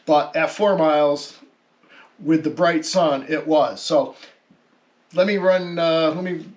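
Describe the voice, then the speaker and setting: Higher-pitched man explaining informally, thinking aloud. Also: steady tone, slow. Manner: hesitant, thinking aloud, conversational. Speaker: amateur radio hobbyist presenter. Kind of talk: informal explanatory vlog narration.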